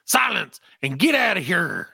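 A cranky, stubborn old grandfather, read aloud by a man snaps at everyone in a gravelly, husky voice. His lines come slowly and sit high for a man, with a bright edge. The sound is dry and close. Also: huge pitch swings, full character voice. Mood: angry. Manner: gruff, snapping, reading a script cold.

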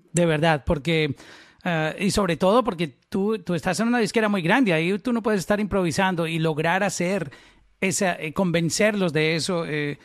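A man's voice: high-pitched, fairly bright tone. A man hosting a conversational podcast interview, rephrasing as he goes and stressing his points.

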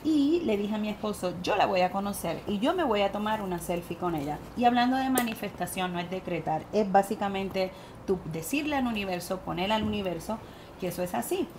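A woman with a Puerto Rican accent, a guest medium telling her story in an animated interview. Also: mid-range voice, brisk, a little hiss or hum. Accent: Puerto Rican Spanish. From Puerto Rico.

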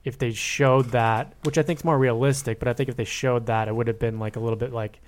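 A man's voice: mid-range voice, fairly even intonation, quick pace. A man co-hosting a podcast, talking casually about film in a tentative, hedging way. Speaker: podcast co-host.

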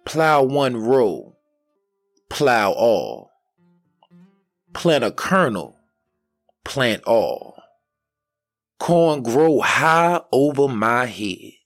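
A bold, magical daughter of the devil in a folk tale, played by a male storyteller. She speaks decisively, like casting spells, in slow measured phrases over faint music. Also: medium pitch, sing-song, full character voice. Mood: incantatory. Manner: incantatory, decisive.

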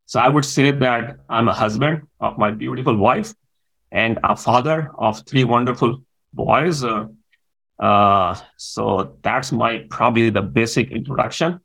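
A man in his forties with a gravelly, creaky voice. His is Urdu, and he answers interview questions at a middling pitch, pausing often on "uh". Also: fairly bright tone, poor audio. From Pakistan. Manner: hesitant, with frequent "uh" fillers. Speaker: guest.

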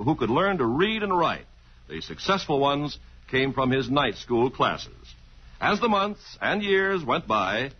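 A man's voice: fairly high pitch, slightly rough. An American man working as a radio announcer, reading scripted narration in a mid-century broadcast style. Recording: dull old radio broadcast recording.

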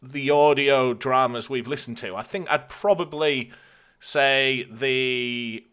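A man's voice: mid-range voice, steady tone, takes it slowly, fairly bright tone, clear, resonant tone. A British man co-hosting a podcast, chatting in an informal, jokey way. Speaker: podcast co-host. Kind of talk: casual chat.

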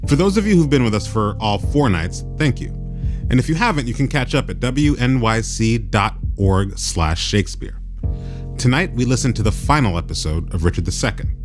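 A man's voice: mid-range voice, animated, moderate pace, faint music behind. A man hosting a radio program, moving between narration and conversation. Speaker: radio host.

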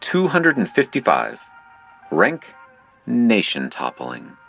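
A man playing a mysterious, impassive game operator who narrates a surreal audio drama in a formal, deadpan manner. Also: on the low side, slow, vocal fry, husky, faint music behind, full character voice.

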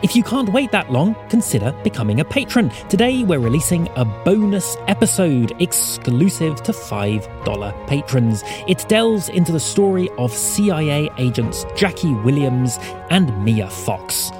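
Animated, higher-pitched male podcast announcer. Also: normal speed, over music. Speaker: announcer reading outro and credits. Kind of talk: scripted narration.

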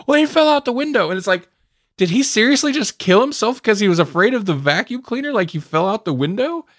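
Man, podcast co-host, very animated intonation, amused, casual chat, close dry sound. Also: high-pitched, fast talker, no echo. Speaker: podcast co-host reviewing audio dramas.

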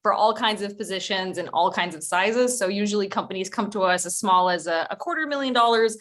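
A woman's voice: mid-range voice, fairly quick, fairly bright tone. Chatty woman consultant on a video call. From Ukraine. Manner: chatty, with frequent small laughs and restarts. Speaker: recruitment consultant. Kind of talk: conversational webinar presentation.

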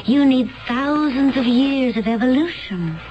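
High-voiced, enigmatic woman from the future. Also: animated, a little slow. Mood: serene, earnest. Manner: solemn, cryptic, formal phrasing. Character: serene, mysterious woman from a far future world. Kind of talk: radio mystery drama acting. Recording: muffled, noisy old radio broadcast.

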